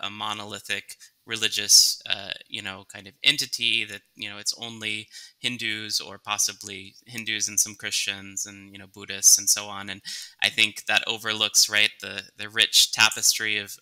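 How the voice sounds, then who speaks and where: A man's voice: fairly low pitch, bright, forceful tone, clear, resonant tone, rough recording. A man in his thirties hosting an interview over a video call, asking long rambling questions full of fillers. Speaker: interviewer host. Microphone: video call with headset.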